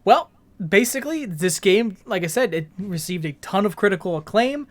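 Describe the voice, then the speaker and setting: A man's voice: high voice, expressive, normal speed. A man co-hosting a gaming podcast, explaining things earnestly in a casual conversational style. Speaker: podcast co-host.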